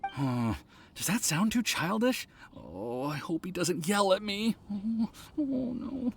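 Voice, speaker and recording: Shy, stammering young man, very animated. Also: sits high, slow, creaky, a little hiss or hum, heavily acted. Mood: worried. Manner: hesitant, stammering, sighing. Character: shy, anxious, lovestruck young man, earnest and dutiful son. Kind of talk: video game character acting. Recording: music behind the voice.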